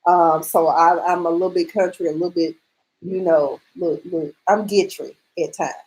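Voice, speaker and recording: A woman from rural Mississippi with a Southern accent, hosting a casual radio chat show, excitable and playful. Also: lowish voice, moderate pace, poor audio. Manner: excitable, loud exclamations, playful.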